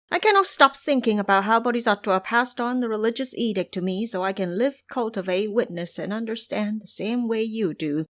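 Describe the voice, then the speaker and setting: A woman's voice: on the high side, fairly bright tone, dry recording, studio quality, voice pushed up. A woman voicing a proud, hot-tempered, aggrieved monkey trickster immortal in theatrical, declamatory audio-drama style.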